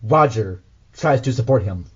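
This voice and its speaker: A man's voice: mid-range voice, animated, normal speed, husky, lo-fi sound. A man reading scripted audio drama narration as the host.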